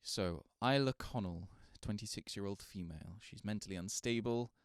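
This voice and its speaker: A man's voice: on the low side, normal speed, dry recording, clean studio sound, close to an everyday voice. A man playing a polite, unsure, newly qualified psychiatric doctor in a radio drama, formal and hesitant in manner. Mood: formal, clinical.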